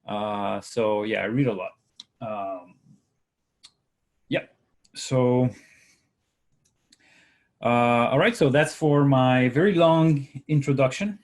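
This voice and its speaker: A man in his forties who grew up in Latin America with Spanish as his first language, teaching an online workshop in a relaxed, rambling way with many fillers and restarts. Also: medium pitch, slow pace, pauses often. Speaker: workshop instructor, concept artist. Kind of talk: casual online workshop talk.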